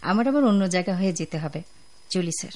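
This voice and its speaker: A woman with a Bangladeshi accent playing a discreet, observant office secretary in a radio drama, polite and measured. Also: fairly low pitch, lively intonation, darkish tone, lightly acted.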